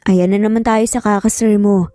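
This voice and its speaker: A woman's voice: mid-range voice, steady tone, normal speed, warm tone, dry recording, clean studio sound, lightly acted. A Filipino woman voicing a playful, flirtatious male engineer boss in a narrated story, speaking in a teasing, informal way. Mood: amused. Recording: background music under voice.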